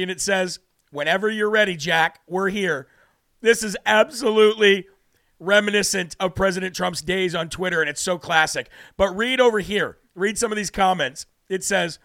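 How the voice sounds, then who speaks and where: A man's voice: high-pitched, gravelly, pushed and bright, no room sound, studio quality. A man from New Hampshire hosting a live political talk show, brash and preachy, losing his voice as he reads out viewer comments.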